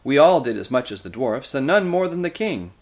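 A man's voice: mid-range voice, very animated, a little fast, voice pushed up. A man voicing a loyal, steadfast talking badger with a thick voice, earnest and firm, in a storybook. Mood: reproachful.

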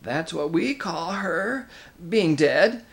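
A man voicing a fawning, falsely sweet old hag with a shrill whining voice in a storybook reading. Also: animated, slow, creaky, fairly bright tone, heavily acted. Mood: fawning, wheedling.

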